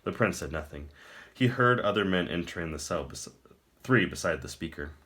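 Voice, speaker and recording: A man reading a fantasy novel aloud in a measured, deliberate storytelling manner with emphatic phrasing. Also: low voice, lively intonation, fairly bright tone, slightly rough. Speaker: audiobook-style reader. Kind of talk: scripted dramatic narration of a fantasy novel.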